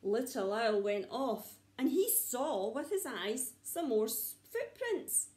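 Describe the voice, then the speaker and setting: A woman reading a story to young children like a nursery teacher, with dramatic pauses and repeated words for suspense. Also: fairly high pitch, big pitch swings, a little mellow. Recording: echoey room.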